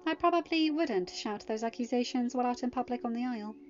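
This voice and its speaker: A woman voicing a composed goddess queen who speaks in a dry, measured, quietly cutting way. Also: fairly high pitch, close to an everyday voice. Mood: cool. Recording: muffled audio with soft music.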